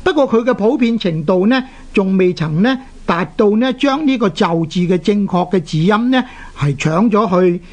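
Radio educator, sex open, Hong Kong Cantonese accent. Mid-pitched, didactic, phrase-by-phrase explanatory delivery. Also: animated, a little mellow. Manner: didactic, deliberate, short phrases with drawn-out particles. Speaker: radio language teacher and presenter. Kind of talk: explanatory radio lecture on Chinese characters.

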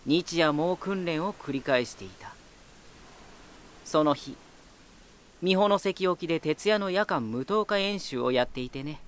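An actor playing a teasing, talkative lighthouse spirit in an anime-style voice drama, boyish and self-assured with an unsettling edge. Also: male voice, a little high, a bit bright, slight background noise. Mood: intense narration. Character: playful male lighthouse spirit, teasing, talkative, eerily battle-hungry.

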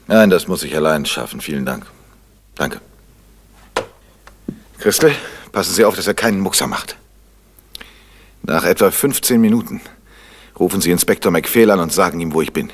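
An actor playing a cool-headed, commanding gentleman detective in a 1970s radio crime drama. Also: low voice, expressive, normal speed, long pauses. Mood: brisk, commanding.

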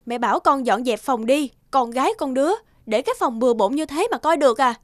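A woman's voice: high voice, a little fast, no echo, clean studio sound, lightly acted. A woman playing a strict middle-aged mother in a cartoon, firm and reproachful in her manner. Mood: stern. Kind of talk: cartoon dubbing.

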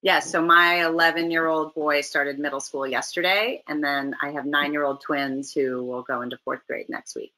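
Canadian-born woman in her 40s, low voice, bright tone, easygoing chat over video call. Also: moderate pace, hardly any pauses, poor audio. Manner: relaxed and chatty, laughs often. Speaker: actress guest. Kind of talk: casual interview chat. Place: home room, video call.

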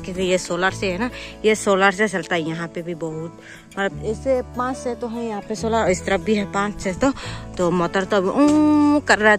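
A woman vlogger in her thirties speaks with a Northeast Indian accent at a middle pitch. She talks casually to her viewers, recorded outdoors on a phone, with clear music behind her. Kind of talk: casual vlog narration to viewers. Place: outdoors in a rural village. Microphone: phone at arm's length.

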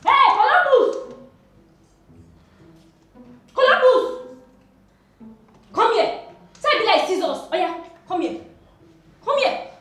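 A woman with a Nigerian Pidgin accent playing a loud, bossy housemaid who barks orders at the children. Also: very high-pitched, sing-song, unhurried, long pauses, heavy reverb, far from the mic, full character voice. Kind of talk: comedy skit.

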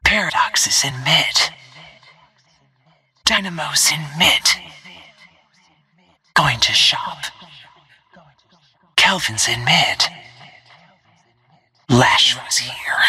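A woman playing a stealthy, cool-headed assassin in a video game, giving terse, controlled callouts in a hushed, husky whisper. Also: sits low, expressive, drawn-out words, long pauses, exaggerated voice. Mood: hushed, watchful.

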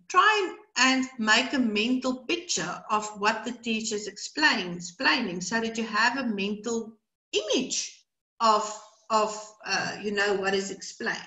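A woman's voice: on the high side, sharp tone, roomy sound, rough recording. A middle-aged South African woman whose first language is Afrikaans, presenting a webinar over a video call and talking in a rambling way with many fillers and repeated words. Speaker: brain training educator. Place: small room indoors. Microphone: video call webcam.

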